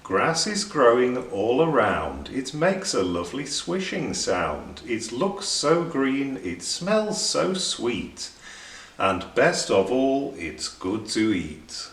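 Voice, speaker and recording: A man voicing a dreamy, earnest storybook rabbit who recites verse in a deliberate singsong. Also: medium pitch, sing-song, a bit bright, heavily acted. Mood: dreamy delight. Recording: roomy echo, distant microphone.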